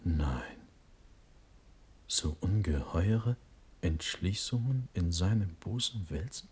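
An actor playing an impetuous, anguished young Prussian prince in a classical verse radio drama, breathy and declamatory. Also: male voice, low-pitched, lively intonation, takes it slowly, darkish tone, heavily acted. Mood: incredulous, brooding. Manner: impassioned declamation swinging from whispers to outcries.

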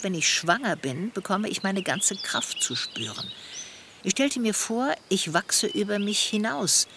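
A thoughtful middle-aged woman in a radio play, looking back on her life with earnest longing. Her delivery is measured and articulate, with a very lively melody. Birds and outdoor noise are clearly audible behind her. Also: medium pitch. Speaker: main character in a radio play. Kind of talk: reflective first-person radio play dialogue.

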